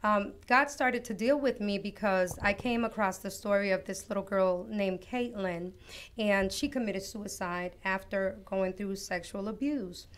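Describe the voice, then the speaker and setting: A woman from Costa Rica, a guest author on an interview show, telling her life story in an earnest, reflective, unhurried way. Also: medium pitch. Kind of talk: personal testimony in an interview.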